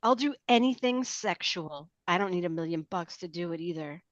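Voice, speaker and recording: A woman's voice: lowish voice, animated, moderate pace, dry recording, lightly acted. A woman playing a sullen, defiant teenage outcast girl, blunt and defensive, in a table read with muffled sound. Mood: brazen. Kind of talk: table read of a film script.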